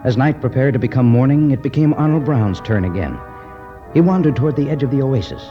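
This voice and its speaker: A man narrating a 1950s radio adventure serial in a measured, dramatic style. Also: mid-range voice, lively intonation. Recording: muffled 1951 radio recording with music.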